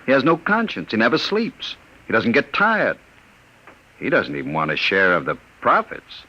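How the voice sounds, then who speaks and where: A cold gang boss from an old radio play taunts in a lively, sharply bright voice. His mockery rides on wide swings of pitch, heard through a lo-fi 1950s broadcast. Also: normal speed, exaggerated voice. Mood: menacing. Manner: menacing, mocking. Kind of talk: 1950s radio drama acting.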